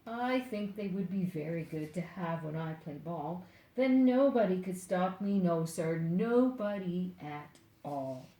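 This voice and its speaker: Older female storyteller, unhurried picture-book read-aloud, playful and direct with children, echoey room. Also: lowish voice, lively intonation, dark tone. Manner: deliberate, playful, addresses young listeners directly.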